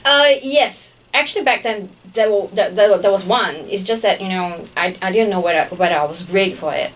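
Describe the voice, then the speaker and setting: A woman's voice: medium pitch, brisk, low-quality recording. A young woman from Singapore, a pastry chef, answering interview questions casually with many fillers and little laughs. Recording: radio studio with faint noise.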